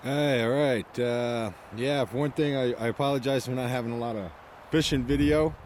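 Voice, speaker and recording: Male vlogger, 40s, easygoing and self-deprecating, recorded outdoors on a camcorder mic with wind and river noise. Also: medium pitch. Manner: relaxed, self-deprecating, talking while fishing. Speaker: fly fishing vlogger. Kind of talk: casual outdoor vlog commentary. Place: outdoors, wading in a river in early spring. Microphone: handheld camcorder mic. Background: wind and rushing water.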